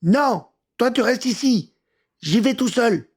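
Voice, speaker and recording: A man puts on a proud young daredevil from a children's adventure tale. The boy sounds raised in pitch, a bit husky, curt and boastful. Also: animated, slow pace, exaggerated voice. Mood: defiant, proud.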